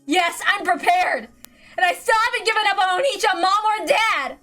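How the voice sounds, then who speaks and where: Woman performing a young girl, high-pitched, anguished, defiant, shrill, tearful, visual novel drama, music behind. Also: moderate pace, bright, forceful tone. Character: anguished defiant young girl seeking her lost family.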